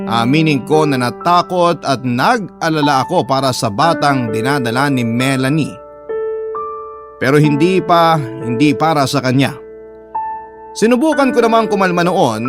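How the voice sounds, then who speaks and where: A man narrating a first-person letter story in a dramatic, scripted style. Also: fairly high pitch. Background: background music.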